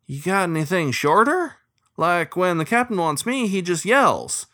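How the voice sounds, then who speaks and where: A man voicing a cheerful, loud male beaver deckhand with a grinning, bellowing manner. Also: high-pitched, big pitch swings, measured pace, dry recording, clean studio sound, voice pushed up, exaggerated voice.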